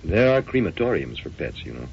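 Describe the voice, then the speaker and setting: An actor playing a patient, steady American doctor in an old-time radio drama, speaking in a measured, soothing way. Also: male voice, vocal fry, slightly rough, heavily acted. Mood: frank, measured. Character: patient, steady male doctor, gently frank. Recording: dull radio recording with low rumble.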